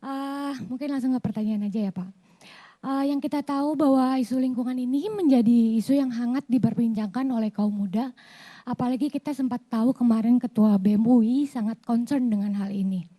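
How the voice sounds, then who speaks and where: A young woman, slightly hoarse, politely putting a formal question from the audience. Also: fairly high pitch, steady tone, fairly quick, dark tone. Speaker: audience member asking a question.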